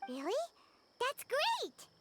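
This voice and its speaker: A woman voicing a tiny, excitable, easily confused fairy companion in a video game, squeaky and speaking of herself in the third person. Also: takes it slowly, very noisy, heavily acted. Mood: excited. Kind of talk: video game character acting.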